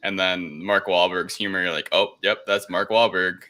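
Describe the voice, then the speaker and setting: A man's voice: low-pitched, level tone, moderate pace, sharp tone. A man co-hosting a podcast, chatting casually and informally. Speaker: podcast co-host. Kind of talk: casual chat.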